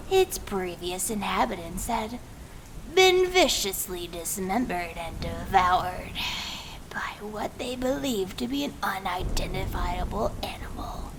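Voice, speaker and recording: A woman's voice: lowish voice, noisy background. A woman voicing a vengeful young village woman turned hag in a brooding dramatic horror monologue. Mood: ominous, hushed. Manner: slow, brooding, swelling from hushed whispers to intense outbursts.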